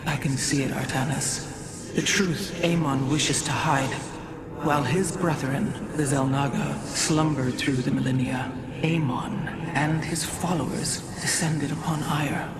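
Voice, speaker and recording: A woman playing a haunted, dutiful alien priestess seer in a game cutscene, speaking gravely and formally, with portentous weight. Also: deep voice, steady tone, a little slow, very noisy. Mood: solemn revelation. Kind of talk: dramatic game cutscene acting. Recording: loud music behind the voice.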